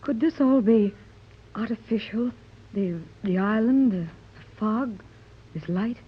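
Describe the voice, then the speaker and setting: An actress playing a nervous but game adventuress, hesitant and anxiously questioning, in a 1930s radio serial. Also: female voice, a little high, takes it slowly, a little hiss or hum. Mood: wondering. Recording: muffled 1930s radio recording.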